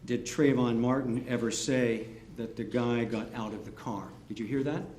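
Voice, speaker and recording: A man's voice: medium pitch, steady tone, moderate pace, a little mellow. Methodical male lawyer in echoey courtroom. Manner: methodical, leading yes-or-no questions. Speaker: defense attorney. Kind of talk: courtroom cross-examination. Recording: echoey courtroom, faint hiss.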